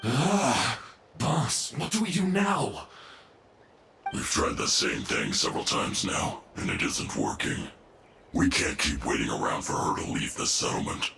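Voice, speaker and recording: Gruff villain's underling, extremely low, gravelly, hesitant game delivery. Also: male voice, very animated, a little slow, bright, forceful tone, exaggerated voice. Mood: worried, frustrated. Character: gruff, hesitant male henchman reporting to boss. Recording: echoey, with background noise.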